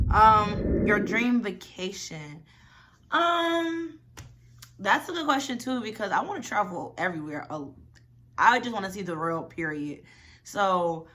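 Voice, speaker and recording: A woman's voice: medium pitch, fairly bright tone. A woman of twenty-one, chatty and rambling, answering questions in a casual vlog. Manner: chatty, rambling, lots of "y'all" and "like". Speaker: vlogger.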